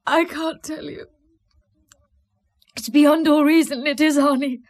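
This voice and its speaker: A woman acting in a drama as a distraught, secretive, obsessive loner, halting and repeating her phrases. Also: high-pitched, fairly even intonation. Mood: tearful anguish.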